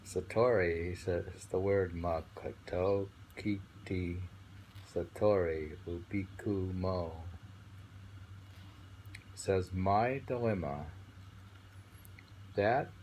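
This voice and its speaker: A man reading poetry aloud and commenting on it informally, in a contemplative way, pausing to muse between phrases. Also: deep voice, darkish tone, low-quality recording. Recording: amateur recording with faint background noise.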